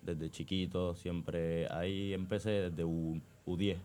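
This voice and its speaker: A man's voice: deep voice, level tone, takes it slowly, dark tone. A young man giving short, casual answers as a guest in an interview, sometimes repeating his phrases. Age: young adult. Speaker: volleyball player, interview guest. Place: radio booth.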